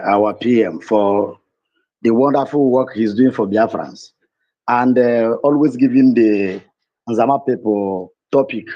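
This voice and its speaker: A man calling into a live audio chat room, speaking respectfully and unevenly, rushing at times and then slowing down. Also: medium pitch. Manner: respectful greetings, uneven rushed and slow pace. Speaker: caller. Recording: lo-fi online audio stream.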